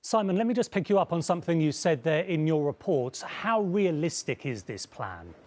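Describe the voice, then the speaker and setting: Crisp male news anchor. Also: fairly high pitch, very animated, moderate pace, darkish tone, slight background noise. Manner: crisp, formal. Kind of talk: studio news presenting.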